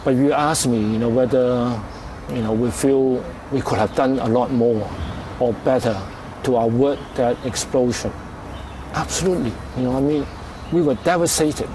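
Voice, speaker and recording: A man answering interview questions as a company executive, in halting, conversational phrasing. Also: mid-range voice, background noise.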